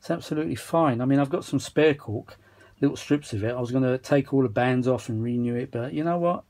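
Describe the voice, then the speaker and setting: A man's voice: mid-range voice, fairly quick. A British man giving casual, rambling, self-mocking commentary as he talks to himself during a repair at an indoor workbench. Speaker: vintage audio repair hobbyist and video maker. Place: indoor workshop bench. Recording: steady machine noise behind voice.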